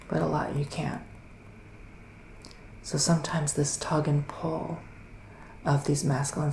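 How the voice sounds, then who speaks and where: A man plays a psychic witch in a soft ASMR roleplay, slipping between gentle talk and whispers. His delivery is very slow and musing, with plenty of vocal fry. The room has a noticeable echo around his voice. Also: on the high side, slight background noise. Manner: hesitant, drawn-out, mystical musing. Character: psychic male witch giving a mystical reading. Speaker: ASMR performer playing a psychic reader.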